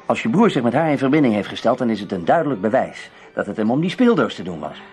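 Cool, probing investigator. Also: male voice, medium pitch, sing-song, a little mellow, faint music behind. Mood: serious, decisive. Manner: terse, questioning, measured. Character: cool, probing male amateur investigator named Tim. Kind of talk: radio drama dialogue.

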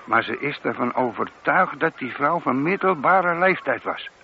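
An actor playing a deferential police sergeant in a radio play, reporting in a careful, matter-of-fact way. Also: male voice, fairly high pitch, expressive, normal speed, creaky. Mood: earnest, narrating. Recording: dull, noisy old radio recording.

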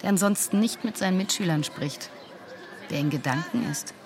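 An actress plays a troubled former teacher, a woman who is direct, probing and quick to defend herself. It is natural radio play dialogue, with clear crowd and city sound around her. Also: fairly low pitch, background noise, close to an everyday voice. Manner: direct, questioning. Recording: busy urban outdoor crowd behind.

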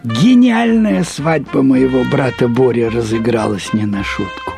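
A man reads a literary story aloud in the first person, expressively, with deliberate phrasing, drawn-out words and pauses. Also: medium pitch, vocal fry, over music. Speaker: narrator reading a short story aloud.

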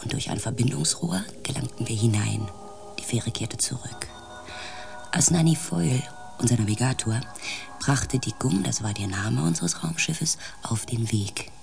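An actress playing a thoughtful spaceship team coordinator who narrates a radio drama in a reflective manner. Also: female voice, rumbling low, expressive, normal speed, creaky, darkish tone, faint music behind, exaggerated voice.

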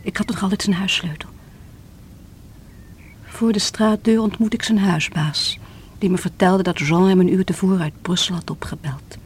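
An actress playing a distraught, lovelorn divorced woman who confesses bitterly in a theatrical, often hushed and breathy radio-drama delivery. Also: lowish voice, normal speed. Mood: anguished, hushed. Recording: noisy vintage radio play recording.

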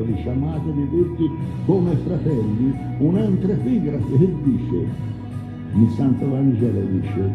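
A man rambling earnestly in a homespun, preachy moral talk. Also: on the high side. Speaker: farmer. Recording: dull echoey recording under loud music. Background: music.

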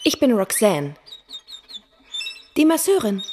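An actress playing a brash young masseuse in a radio play, breezy, chatty and teasing. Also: female voice, fairly high pitch, very animated, slow, darkish tone, a little hiss or hum. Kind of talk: radio drama acting.

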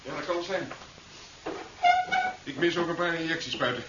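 An actor playing a calm, caring and practical scientist in a 1970s science fiction radio drama, speaking in a natural conversational way. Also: male voice, on the high side, normal speed, lightly acted. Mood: worried. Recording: dull, noisy 1970s radio recording.

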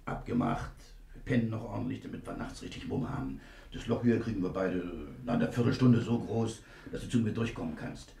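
Gravelly, echoing tough male convict. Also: fairly low pitch, animated, a little fast, dark tone, a little hiss or hum. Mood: cocky. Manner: gruff, slangy. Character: tough, streetwise male convict, gruff and cocky. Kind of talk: 1960 German radio crime drama acting. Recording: roomy echo.

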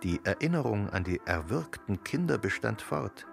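Radio play narrator: man, gravelly, measured literary storytelling, close dry studio, soft music underneath. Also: on the low side, big pitch swings.

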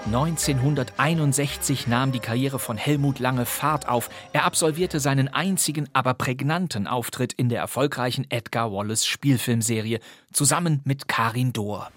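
A man's voice: mid-range voice, moderate pace, few pauses, fairly bright tone, soft background music. A man presenting a podcast of classic radio crime plays, speaking in a scripted, playful and wry way.

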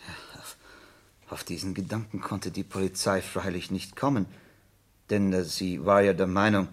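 An actor playing a detective's earnest, puzzled companion in a German radio play, speaking in a hesitant, thoughtful way. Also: male voice, sits low, fairly even intonation, normal speed. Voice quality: husky.

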